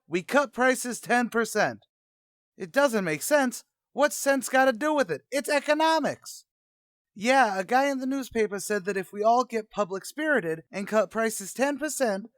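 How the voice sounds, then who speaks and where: An actor playing a brash, self-important tavern manager who talks pompously in old-time radio comedy style. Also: male voice, sits high, animated, normal speed, a bit bright, no echo, studio quality. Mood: salesman-like, enthused. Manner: pompous, malapropism-prone, colloquial.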